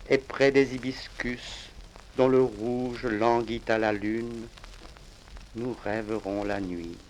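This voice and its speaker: A crackly vinyl recording of a male poet from Reunion Island reciting his own verse. His medium-pitched voice moves slowly and solemnly, in a measured declamatory style with deliberate pauses between phrases. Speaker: poet reading own work.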